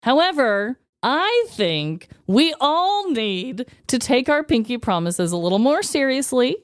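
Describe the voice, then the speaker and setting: A woman co-hosting a casual, comedic podcast, telling things in an animated, expressive way. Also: high-pitched, a little slow, dry recording, studio quality. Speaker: podcast co-host.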